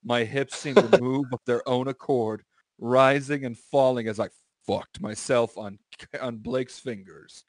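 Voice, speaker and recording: A man's voice: mid-range voice, steady tone, unhurried, no room sound. A man hosting a comedy podcast, reading a story aloud and breaking off into banter with friends.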